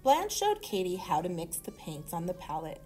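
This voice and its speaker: A woman reading a children's story aloud in an expressive manner. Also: on the low side, normal speed, faint music behind. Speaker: storybook reader.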